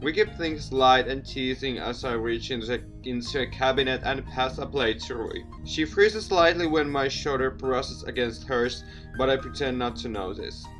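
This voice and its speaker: A man's voice: medium pitch, normal speed, hardly any pauses, a bit bright, faint music behind. A man doing a let's play, reading a visual novel aloud in a theatrical, jokey way and interjecting his own comments. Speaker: video game let's player.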